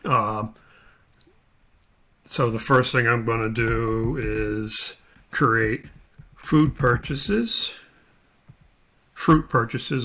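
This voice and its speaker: Guy teaching software step by step, medium pitch, unscripted, kinda halting, talks thru what hes doing. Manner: halting, thinking aloud while working. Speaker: instructor giving a tutorial. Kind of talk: step-by-step spreadsheet tutorial, unscripted.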